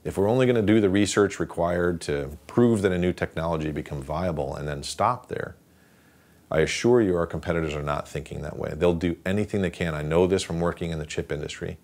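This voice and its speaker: A man in his forties with a very deep voice speaks in an interview, earnest and emphatic. He sits in front of a dark backdrop on a quiet set. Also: fairly quick, creaky. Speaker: battery scientist, interviewee.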